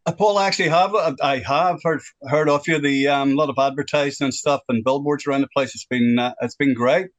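A man's voice: mid-range voice, fairly even intonation, a little fast, hardly any pauses. A man in his sixties with a Northern Irish accent, a guest on a phone-in radio chat, speaking earnestly and at length about what bothers him. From Northern Ireland. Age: 60s.

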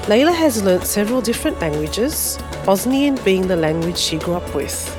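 A woman's voice: medium pitch, sing-song, moderate pace, over music. A woman hosting a podcast interview, asking friendly, encouraging questions. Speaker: podcast host.

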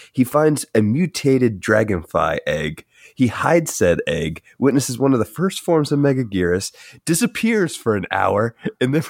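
A very deep-voiced man hosts a casual podcast with a playful, chuckling manner. His intonation swings widely. The sound is dry and close. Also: dry recording. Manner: chuckling, playful, stumbles into restarts. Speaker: podcast co-host.

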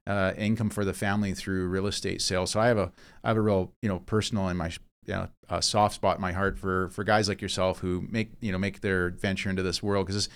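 A podcast host who talks fast and rambles, circling back and restarting his sentences. His voice sits a bit low and comes through clean and smooth. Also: flat delivery. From Vancouver Island, Canada. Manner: chatty, rambling, many fillers and restarts. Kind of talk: casual interview chat.

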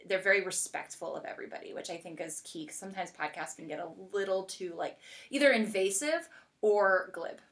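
A woman's voice: mid-range voice, brisk, a bit bright. A woman hosting a podcast, chatting casually with a guest in very informal speech full of "like". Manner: informal, heavy use of "like". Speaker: podcast host interviewing a guest. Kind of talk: casual chat.

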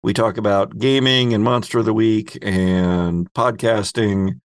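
A man with a creaky, gravelly voice hosts a gaming podcast. He talks casually and jokingly, rambling a little, in a close, dry recording. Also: on the low side, fairly even intonation, unhurried, no echo. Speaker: podcast host and game master. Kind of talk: casual podcast intro chat.

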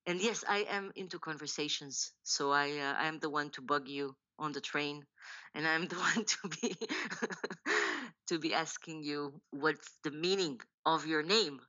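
Low bright-toned woman, halting speech. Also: muffled recording. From Israel. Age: 40s. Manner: thoughtful, halting, many restarts and fillers, laughs often. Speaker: novelist guest. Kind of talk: podcast interview, personal storytelling.

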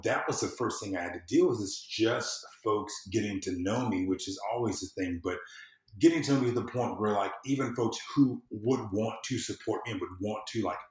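Low-pitched man in an echoey room. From US South. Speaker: podcast guest, civic consultant and former candidate. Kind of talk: conversational interview, personal storytelling.

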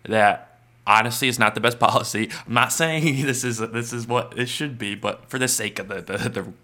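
Bright-voiced man hosting a podcast. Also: mid-range voice, normal speed. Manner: playful, sets up role-play bits. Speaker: podcast co-host. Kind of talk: casual comedic podcast chat.

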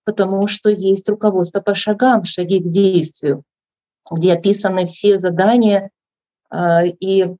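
A woman with a mellow, dark voice shares her story in a measured, reflective way. The audio is dry and dull, heard through a video call. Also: medium pitch, no echo. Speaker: meeting speaker. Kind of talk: personal recovery share.

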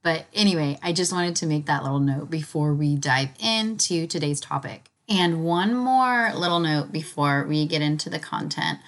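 Slightly raspy, low-voiced woman podcaster. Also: moderate pace, hardly any pauses. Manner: chatty, enthusiastic, informal. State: voice recovering from illness, slightly hoarse. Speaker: podcast host. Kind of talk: casual spiritual podcast monologue.

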